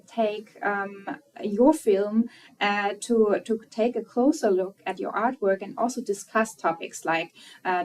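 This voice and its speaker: A woman interviewer asking questions in a polite way, with frequent ums between phrases. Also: mid-range voice. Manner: polite, filler-laden questioning. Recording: distant from the mic.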